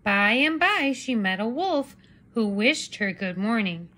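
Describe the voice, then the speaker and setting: A woman's voice: on the high side, big pitch swings, unhurried, pushed and bright. A woman in her thirties narrating a children's storybook expressively, as a teacher reading aloud.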